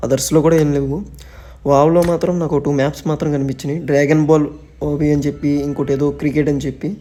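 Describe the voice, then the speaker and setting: A man's voice: on the high side, warm tone. A man giving casual commentary on a game update as a gaming channel host.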